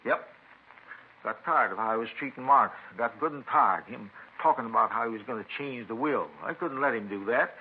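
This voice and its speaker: A man playing a folksy, stubborn old father in a 1950s radio crime drama, gravelly and rambling. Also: mid-range voice, a little fast, natural voice. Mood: stubborn, resolute. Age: older. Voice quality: gravelly, husky. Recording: muffled vintage radio recording.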